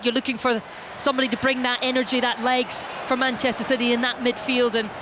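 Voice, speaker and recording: A woman doing live football play-by-play commentary, steady and descriptive. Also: on the high side, fast talker. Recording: stadium crowd and noise behind.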